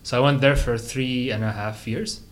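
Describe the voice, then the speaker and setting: A man's voice: medium pitch, fairly even intonation, normal speed. A young man co-hosting a podcast, telling a rambling story and correcting himself as he goes. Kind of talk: casual chat.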